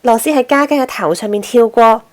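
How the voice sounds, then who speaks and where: A woman's voice: fairly high pitch, fairly quick. A woman narrating a children's storybook expressively as host.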